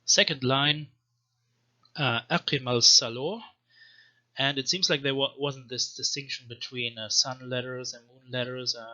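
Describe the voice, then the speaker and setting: A man giving an explanatory language lesson, reading text samples aloud in a careful, hesitant, word-by-word manner with pauses. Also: mid-range voice, bright, forceful tone, lo-fi sound. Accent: non-native Arabic accent when reading Arabic. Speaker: language teacher, presenter of a video series.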